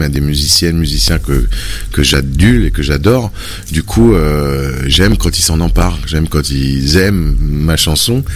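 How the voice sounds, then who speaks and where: A man with an extremely low, deep voice talks about his songs as an interview guest. He speaks hesitantly, stopping often and restarting his sentences. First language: French. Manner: hesitant, many fillers and restarts. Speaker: musician guest. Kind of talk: relaxed conversational interview.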